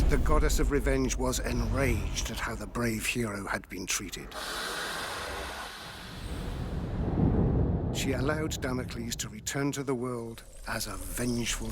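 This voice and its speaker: Actor as measured, solemn Roman father, noisy backdrop. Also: mid-range voice, lightly acted. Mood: grave storytelling. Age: middle-aged. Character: proud, wise Roman father and veteran soldier. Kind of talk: dramatic game cutscene acting.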